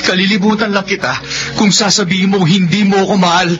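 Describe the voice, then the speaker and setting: A man's voice: high-pitched, fairly even intonation, fairly quick, lightly acted. A man acting an earnest, devoted young suitor in a romantic radio drama, ardent and pleading. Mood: pleading, insistent. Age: young adult. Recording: dull lo-fi audio with music.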